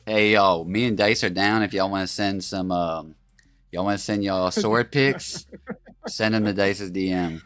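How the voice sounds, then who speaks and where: Deep, stuffy-voiced man chatting on stream. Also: moderate pace, fairly bright tone. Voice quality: raspy. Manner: laid-back, joking, greets chat by name. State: congested, stuffy from a cold. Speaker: stream co-host. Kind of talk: casual live-stream chat.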